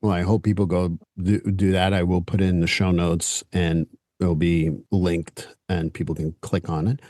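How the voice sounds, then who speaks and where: A man with a French Canadian accent, a native French speaker, hosting a casual podcast interview and talking bluntly, even swearing. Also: sits low, moderate pace, dry recording, clean studio sound. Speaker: podcast host.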